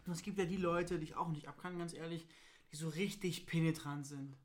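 A woman's voice: low-pitched, fairly even intonation, brisk. A woman talking casually and frankly as co-host in a question card game. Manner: informal, colloquial, opinionated. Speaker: co-host of a faith podcast.

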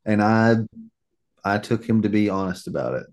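A man from Alabama, co-hosting a podcast in casual, slangy, halting chat. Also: on the low side, lively intonation, a little mellow. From Alabama, United States. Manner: slangy, laid-back, halting. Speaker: podcast co-host.

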